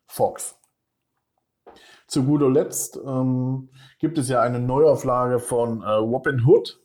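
A man hosting a casual film news podcast, rambling with many filler sounds and stopping to correct himself. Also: medium pitch. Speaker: podcast host.

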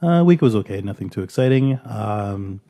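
Canadian-born guy hosting a podcast, low mellow voice, laid back. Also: lively intonation, moderate pace. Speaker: podcast host. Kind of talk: casual chat.

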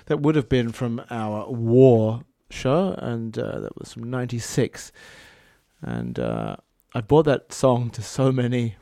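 A man presents songs on a radio show in a loose, chatty way, cracking deadpan jokes and pausing on fillers. His voice sits in an ordinary middle pitch. The sound is close and dry, with no room around it. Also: no echo. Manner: dry joking asides, hesitant fillers. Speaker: radio host. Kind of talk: casual radio chat between songs.

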